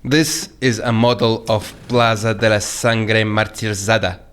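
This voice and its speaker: A man's voice: on the low side, level tone, a little slow, a bit bright, clear, resonant tone. A man playing a formal, commanding captor in an audio drama, businesslike and matter-of-fact about his plotting.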